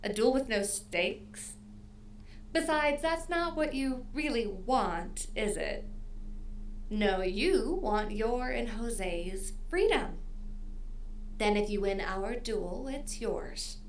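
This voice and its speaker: A woman's voice: on the high side, lively intonation, slight background noise. A woman playing a smug, cruel audio drama villainess who speaks with mocking, menacing courtesy. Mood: coaxing, condescending. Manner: mocking, drawn-out, chuckling, menacingly polite.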